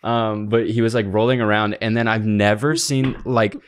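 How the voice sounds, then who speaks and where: A man's voice: on the low side, steady tone, normal speed, a little hiss or hum. A man co-hosting a podcast, talking in an informal, slangy, conversational way. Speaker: podcast co-host. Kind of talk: casual chat and ad reads.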